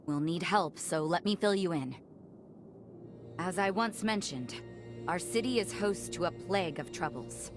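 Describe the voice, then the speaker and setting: Game noblewoman, played by a woman: sardonic, commanding, deepish voice, blunt delivery over music. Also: unhurried, lightly acted. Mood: briskly commanding. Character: defiant, sardonic noblewoman ruling a sunken city. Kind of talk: scripted video game cutscene acting.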